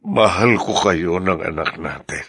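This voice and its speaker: A man's voice: sits low, a little slow, creaky, fairly bright tone, husky, full character voice. A man playing a tender, sentimental middle-aged husband and father in an audio drama, speaking hesitantly and earnestly. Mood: tender, wistful. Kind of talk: audio drama acting in Tagalog.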